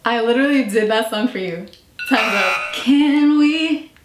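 High-voiced young woman hosting a game. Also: lively intonation, a little slow. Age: young adult. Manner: playful, competitive, bursts into song. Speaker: YouTube host. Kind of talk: casual game chat with singing. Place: small indoor room. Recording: echoey room, faint noise.

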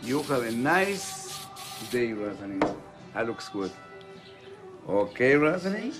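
A middle-aged man from Ecuador speaks English, chatting easily with a client in a small hair salon. His voice sits at an ordinary pitch, and music plays clearly behind him. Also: sing-song, slow. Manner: friendly small talk, often agreeing and repeating. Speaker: hairdresser. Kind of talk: casual chat.

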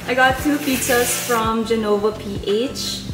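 Casting: bubbly young Filipina vlogger, high voice, unhurried, echoey rooms. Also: female voice. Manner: bubbly, chatty tour guide. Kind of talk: casual house-tour vlog narration. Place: villa rooms and poolside. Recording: echoey rooms with loud music behind.

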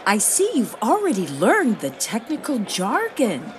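A woman's voice: a little high, huge pitch swings, unhurried, background noise. A woman playing a perky, unflappable roving reporter in a satirical on-the-scene radio report. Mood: perky, wry. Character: perky, unflappable female radio reporter among talking rhinos.

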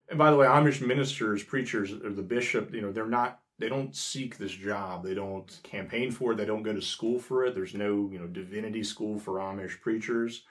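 Man, thirties, casual host, lowish voice, filler-heavy explaining, small indoor room. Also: a bit bright. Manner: conversational, many fillers and restarts, gestures with hands. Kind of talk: casual explainer talk to camera.

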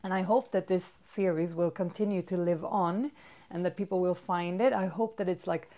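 A woman's voice: fairly low pitch, steady tone, normal speed, warm tone. A woman in her thirties with a Swedish accent, a fitness vlogger chatting casually and upbeat to a camera in a bedroom. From Sweden. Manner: chatty and upbeat, many fillers. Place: bedroom with toys, indoors. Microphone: far camera.

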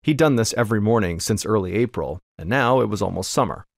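Low-voiced man narrating a mystery. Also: quick pace, creaky, no echo, studio quality. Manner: steady, suspenseful storytelling. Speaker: podcast host narrator. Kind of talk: scripted storytelling narration.

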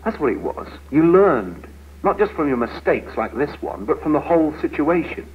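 An actor playing a frustrated, ambitious young British office worker, earnest and argumentative, in a 1970s training film. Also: male voice, a little high, big pitch swings, moderate pace. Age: young adult. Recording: tinny, noisy 1970s film soundtrack.

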